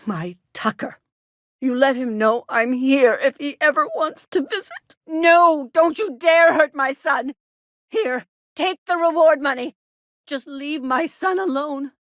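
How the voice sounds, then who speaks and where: A woman's voice: high-pitched, no room sound, studio quality. An actress playing a doting, anxious middle-aged frontier mother in a video game, with a melodramatic, folksy manner. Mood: resigned, then bitter, protective. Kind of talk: video game character dialogue.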